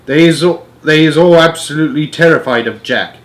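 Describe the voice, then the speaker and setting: A man's voice: a little high, a little fast, lightly acted. A man voicing a friendly, cautious storybook giant who explains things in quaint, garbled grammar. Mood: hushed explaining.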